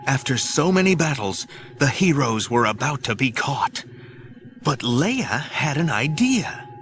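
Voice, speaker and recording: A man's voice: mid-range voice, sing-song, a little slow, fairly bright tone, faint music behind. A man narrating an audio drama in an urgent, theatrical storytelling style.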